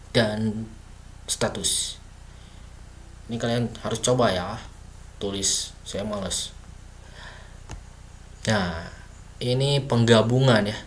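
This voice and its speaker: A man talks through a beginner coding lesson at his desk, slow and unhurried. He stops often to think aloud, using fillers and correcting himself, and a faint hiss sits under his voice. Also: on the low side. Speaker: self-taught coding tutor. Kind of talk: casual screen-recorded coding lesson.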